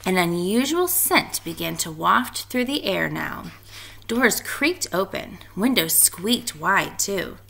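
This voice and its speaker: A woman reading a storybook aloud in an expressive narrating style. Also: fairly low pitch, slow, vocal fry, slight background noise. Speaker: storybook reader.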